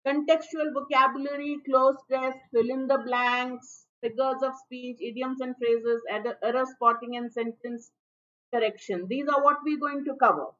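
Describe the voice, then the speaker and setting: Woman with a high voice, chatty exam-prep teacher on a muffled, dull stream. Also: steady tone, normal speed, a bit bright. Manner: friendly, chatty, with restarts and fillers. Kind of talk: online exam prep lesson. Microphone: low-level stream mic. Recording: dull, muffled livestream audio.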